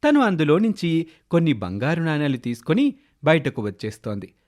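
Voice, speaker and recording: A man's voice: on the high side, sing-song, moderate pace, darkish tone. A man narrating a scripted children's moral story.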